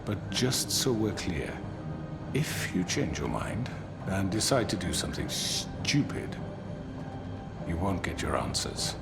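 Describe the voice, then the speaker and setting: An actor playing a smug, calculating crime boss in a video game, courteous and menacing, with formal, condescending, deliberate speech. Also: male voice, low-pitched, very animated, creaky, over loud music, exaggerated voice. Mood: smug menace.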